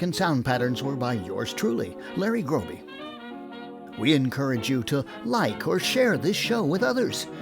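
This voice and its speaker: A man acts as host, delivering a scripted sign-off. His mid-pitched voice moves in a lively way, with music clearly behind it. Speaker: host, producer and director.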